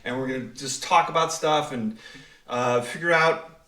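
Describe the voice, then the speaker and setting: A man with a medium-pitched voice, a little far from the microphone in a roomy space, hosts a conversation. He asks questions informally, with a halting delivery. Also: pushed and bright. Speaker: podcast host, writer and director. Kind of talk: conversational interviewing. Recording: echoey room, slightly off mic.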